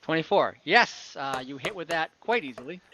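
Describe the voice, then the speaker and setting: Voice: mid-range voice, expressive, moderate pace, bright, forceful tone. A game master running an online tabletop fantasy game, thinking aloud while tallying dice and narrating combat. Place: online voice call. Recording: dull lo-fi mic with faint noise and clicks.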